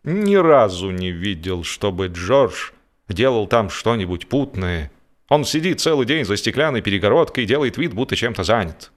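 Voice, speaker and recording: A man's voice: on the low side, big pitch swings, moderate pace. A man voicing an indignant holiday boater in a humorous audiobook, ranting in exasperated rhetorical questions. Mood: scornful. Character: indignant, grumbling, blustering male holiday boater.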